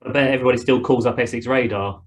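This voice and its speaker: A man's voice: mid-range voice, steady tone, racing. A man with a British accent hosting an informal online group session in a colloquial, chatty way. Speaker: host of an online ground school session.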